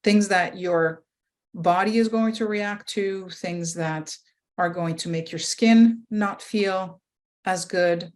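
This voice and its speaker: A woman's voice: on the low side, takes it slowly. A woman guest from the fragrance and flavor industry, explaining things in casual conversation and often adding tag questions. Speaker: guest, former industry professional.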